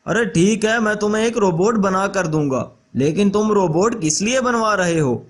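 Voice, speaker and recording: A man's voice: high-pitched, animated, normal speed. A man voicing a greedy, vengeful traitor scientist in a children's story, boastful and threatening. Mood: agreeing, questioning.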